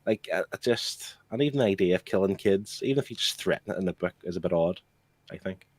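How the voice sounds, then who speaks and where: Male podcast host, lowish register, very dry sound, questioning and insistent in casual discussion. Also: quick pace, darkish tone, no echo. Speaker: podcast book club host. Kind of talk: casual comic book discussion.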